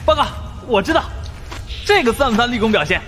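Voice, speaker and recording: An eager, deferential underling, acted by a man calls out in a raised, very animated voice. It rings with long reverb over music in an audiobook thriller. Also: very high voice, fairly quick, voice pushed up, heavily acted.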